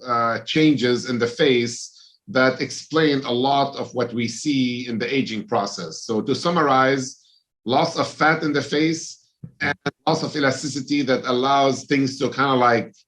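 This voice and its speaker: A middle-aged man from Lebanon, a plastic surgeon, answering interview questions in a measured, explanatory way with many ums and uhs. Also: mid-range voice, a bit bright.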